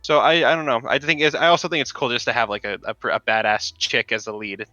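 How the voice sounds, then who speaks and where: A young man with a very bright, sharp voice talks games on a podcast and keeps restarting his sentences. The sound is dry and dull, like a lo-fi home recording, and faint music plays underneath. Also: medium pitch, fairly even intonation, fast talker, no room sound. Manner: rambling, lots of restarts and fillers. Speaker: podcast co-host. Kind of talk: casual gaming podcast chat.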